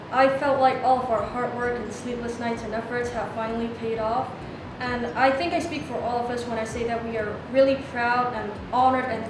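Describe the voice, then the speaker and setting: A young female student in her teens giving an interview answer. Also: on the high side. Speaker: student competitor. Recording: very echoey, noisy room recording.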